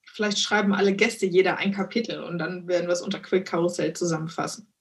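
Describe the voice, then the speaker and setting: A woman's voice: fairly low pitch, steady tone, fast talker, husky. A woman interviewing a podcast guest in casual German, encouraging her and often nodding along with short "mhm"s. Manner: encouraging, with lots of "mhm" backchannel.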